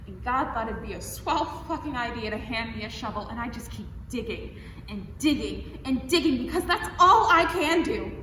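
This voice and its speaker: A woman's voice: a little high, animated, moderate pace, bright, forceful tone. A young woman acting in a student stage play as an exasperated, guilt-ridden girlfriend who rants and swears. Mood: anguished ranting. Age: young adult. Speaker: student actor. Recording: echoey hall, distant mic, noisy.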